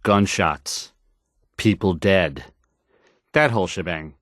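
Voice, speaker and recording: An actor playing a nervous, bumbling, insecure young radio DJ in a game, hesitant and stammering through the news. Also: male voice, deep voice, slow, a bit bright, dry recording, studio quality. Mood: uneasy. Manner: stammering, hesitant, self-doubting. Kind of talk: halting radio news announcing.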